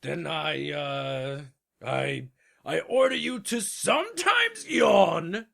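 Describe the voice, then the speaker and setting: Gravelly, pompous old-world storybook king. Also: fairly high pitch, expressive, a bit bright, pitched above the performer's own voice, exaggerated voice. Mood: flustered, vexed. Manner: pompous, commanding, drawn-out imperious delivery. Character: proud, pompous king of a tiny planet.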